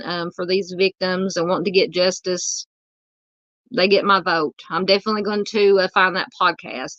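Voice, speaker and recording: A Southern woman hosts a casual livestream, rambling warmly through comments with plenty of ums and uhs. Her voice sounds tired and on the edge of giving out. The sound is a bit dull and muffled, with a dry, close feel and no room echo. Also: medium pitch. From Southern United States. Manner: rambling, chatty, many fillers. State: losing her voice. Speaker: true crime livestream host.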